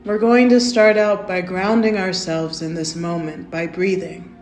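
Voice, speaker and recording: A woman guides a meditation at a very slow, soothing pace, her voice mellow and warm. The sound is roomy and echoey, with faint soft music behind her. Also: lowish voice, steady tone. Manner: gentle, soothing and unhurried, with soft invitations.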